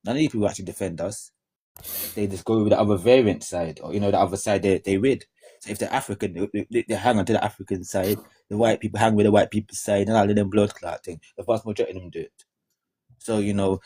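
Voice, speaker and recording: A man, lecturing rapidly and repetitively as host of a heated live stream debate. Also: lowish voice, warm tone, slightly rough. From Caribbean heritage.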